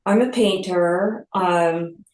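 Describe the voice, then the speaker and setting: A woman from California introducing herself as a painter, halting and searching for words. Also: fairly low pitch, darkish tone, roomy sound, rough recording. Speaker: painter at a meetup. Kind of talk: casual self-introduction.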